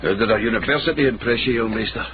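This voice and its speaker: An actor playing a dry, sardonic Scottish investigator in a period radio drama, wry and understated. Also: male voice, on the low side, racing, natural voice. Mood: wry, cautioning. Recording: muffled, noisy radio recording.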